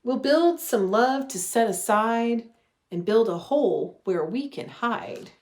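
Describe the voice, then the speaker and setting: Slow-reading woman, storybook style. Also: fairly high pitch, expressive, darkish tone. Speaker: religious education director reading a children's story. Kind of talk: storybook read-aloud. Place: living room at home.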